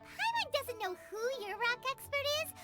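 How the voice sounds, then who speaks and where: A woman's voice: extremely high pitch, moderate pace. A woman voicing a tiny, cheeky fairy-like companion who talks about herself in the third person and pushes everyone around. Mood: pushy. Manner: refers to herself in third person, pushy and excitable. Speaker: adventure companion. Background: game music.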